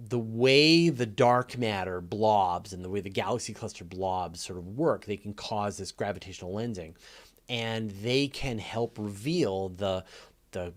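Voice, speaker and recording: A man hosting a casual live science question and answer session, explaining conversationally and thinking aloud with enthusiasm. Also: fairly low pitch. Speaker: host answering audience questions.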